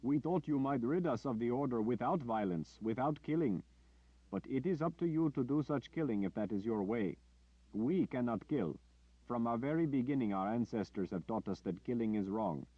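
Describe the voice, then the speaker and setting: A man's voice: mid-range voice, lively intonation, moderate pace, dry recording, voice pushed up, close to an everyday voice. A man doing a stubborn, insistent villager. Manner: firm, insistent. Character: stubborn male villager, arms folded, firm. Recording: dull dry lo-fi audio.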